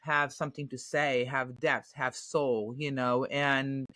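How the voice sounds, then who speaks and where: A woman's voice: rumbling low, little pitch movement, slow pace, fairly bright tone, no room sound, studio quality. A woman from Germany with a German accent, an actress chatting in an interview with lots of fillers and restarts. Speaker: actress, podcast guest. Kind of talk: casual interview answers.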